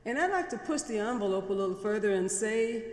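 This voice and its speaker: A woman's voice: medium pitch, animated, fairly quick, darkish tone. A middle-aged woman pastor preaches from the pulpit with a mid-range voice. She tells stories conversationally, then leans on emphatic repeated phrases. Her voice rings through a large, reverberant church. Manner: conversational storytelling, building emphatic repetition. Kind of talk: sermon.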